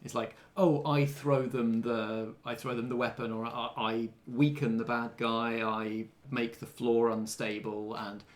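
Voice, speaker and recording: A man's voice: mid-range voice, little pitch movement, unhurried, hardly any pauses. A man chatting casually as a game master, explaining things in a positive way. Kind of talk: casual group discussion.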